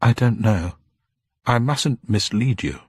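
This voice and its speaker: A man's voice: fairly low pitch, expressive, takes it slowly, husky, dry recording, studio quality, pitched above the performer's own voice, exaggerated voice. A man voicing a little old upper-class woman, genteel, formal, grieving and proud, in an audiobook story. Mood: hesitant, grave. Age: older. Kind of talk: dramatised audiobook character voice.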